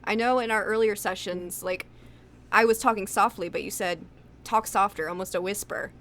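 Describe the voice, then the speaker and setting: A woman's voice: medium pitch, normal speed, a bit bright. A woman chatting casually and expressively in an interview about her acting. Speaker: voice actress, interview guest. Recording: faint background noise.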